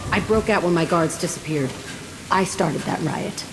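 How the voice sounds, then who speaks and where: Woman voicing a tough bitter young woman, lowish voice, blunt sardonic manner, rain noise. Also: moderate pace, natural voice.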